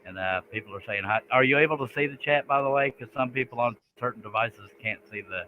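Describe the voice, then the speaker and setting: A man's voice: medium pitch, fast talker. A man from Texas hosting a live stream in a folksy, casual way. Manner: folksy, says "dang". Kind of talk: casual chat. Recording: tinny phone-like line with faint noise.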